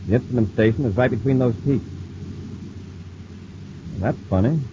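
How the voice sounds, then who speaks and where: An actor playing a steady, authoritative male space patrol commander in an old-time radio serial. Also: medium pitch, moderate pace, close to an everyday voice. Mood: calm authority. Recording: noisy muffled vintage radio recording.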